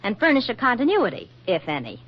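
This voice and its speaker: A scheming young American photographer in a 1940s radio comedy. Her voice is high and swoops with coy, wisecracking confidence. The dull vintage recording has faint music behind it. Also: wildly swooping pitch, brisk. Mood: smug, scheming.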